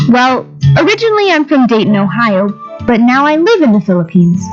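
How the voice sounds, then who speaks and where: A woman acting as a warm young American missionary teacher telling her own story. Her voice is high and very lively in pitch, slightly muffled, with faint music behind. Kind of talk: scripted first-person testimony narration.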